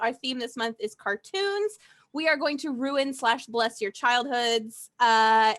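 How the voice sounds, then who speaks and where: Bright, high-voiced woman. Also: dry recording. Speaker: burlesque show producer. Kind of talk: casual promotional chat.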